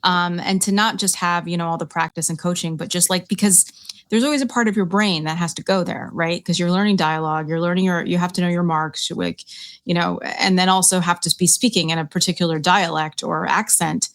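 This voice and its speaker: A woman's voice: lowish voice, steady tone, a little fast. Chatty woman on a podcast panel. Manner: chatty, many fillers and repeats. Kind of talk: casual chat.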